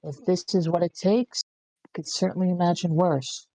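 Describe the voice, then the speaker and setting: A man playing an infected widower in a screenplay table read, dreamy and hopeful, with a halting delivery. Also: sits high, fairly even intonation, dark tone, rough recording. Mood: wistful. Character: infected widower, dreamy, clinging to hope, weakening.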